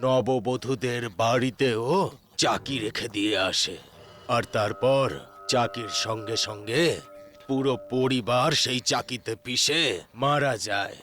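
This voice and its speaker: A man's voice: mid-range voice, fairly even intonation, slow pace, fairly bright tone, soft background music. A man playing a grave village exorcist priest in a horror story, solemn and explanatory. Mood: grave, warning. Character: grave village priest who battles ghosts. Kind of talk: dramatized horror story acting.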